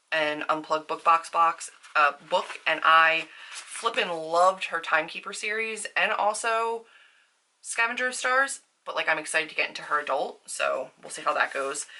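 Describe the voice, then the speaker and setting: A woman's voice: deep voice, pushed and bright, a little hiss or hum. A woman vlogger chatting casually to her viewers in an informal, slangy, self-deprecating way. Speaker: book vlogger.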